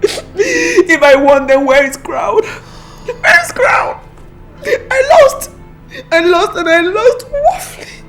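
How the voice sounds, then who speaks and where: A woman's voice: extremely high pitch, animated, takes it slowly, soft background music, full character voice. An actress playing a grieving young mother who has lost her son, sobbing and pleading. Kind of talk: film drama acting.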